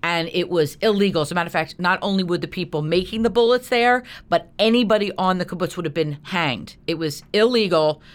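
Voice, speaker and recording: A woman's voice: fairly low pitch, a little fast, few pauses. A woman being interviewed as a guest, telling a historical story in a conversational, explanatory way with frequent asides.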